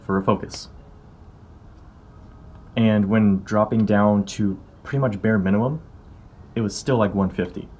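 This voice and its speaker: Young American man, low voice, casual vlogging. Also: darkish tone, slight background noise. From California, United States. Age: early 20s. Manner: informal, conversational. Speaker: car vlogger. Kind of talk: casual explanatory vlog talk to viewers. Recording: music behind the voice. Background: background music.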